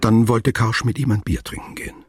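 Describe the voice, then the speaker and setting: An actor playing a sober, detached narrator, reading scripted literary prose in a radio play. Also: male voice, fairly even intonation, fairly quick, darkish tone, a little hiss or hum, lightly acted. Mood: dry, observant. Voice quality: very husky, low.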